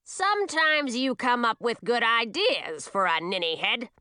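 A woman's voice: on the high side, animated, a little slow, pushed and bright, smooth, no room sound, studio quality, voice pushed up. A woman voicing a grumpy old witch in a storybook, sour and snide, grumbling and muttering her words. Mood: grudging.

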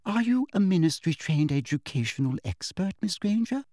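A man's voice: high-pitched, big pitch swings, moderate pace, darkish tone, no echo, clean studio sound, voice pushed up. Man doing a sickly-sweet condescending schoolmistress. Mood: falsely sweet. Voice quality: sweet, breathy, prissy and patronizing. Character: sugary, condescending female teacher, falsely sweet.

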